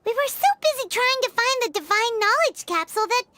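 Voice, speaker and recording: A woman's voice: very high voice, expressive, brisk, bright, forceful tone, a little hiss or hum, full character voice. A woman voicing a small, chatty floating companion creature who talks about herself in the third person, in game dialogue. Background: game music.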